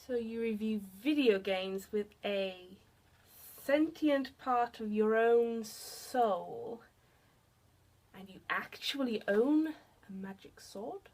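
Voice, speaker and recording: A woman's voice: a little high, slow pace, a little mellow. A woman acting a curious young PhD student in an audio story, hesitant, rambling and apologetic. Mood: incredulous.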